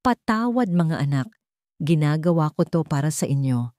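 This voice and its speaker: A woman's voice: sits low, expressive, moderate pace, dark tone, no echo, studio quality. A woman playing an anguished, self-sacrificing mother in an audio drama, pleading in a tearful, faltering way. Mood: sorrowful. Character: anguished, pleading mother giving up for her children.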